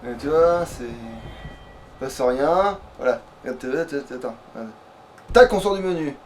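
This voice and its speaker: High-pitched, crude-talking male gamer. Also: expressive, unhurried. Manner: slangy, crude, swearing. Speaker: let's player. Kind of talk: casual gaming commentary with a friend. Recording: background noise and game ambience. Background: video game sound.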